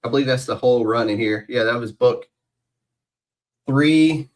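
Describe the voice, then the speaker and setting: A man hosting a podcast, chatting in a rambling, excitable way as a fan. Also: medium pitch, normal speed. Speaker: podcast host. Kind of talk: casual podcast interview.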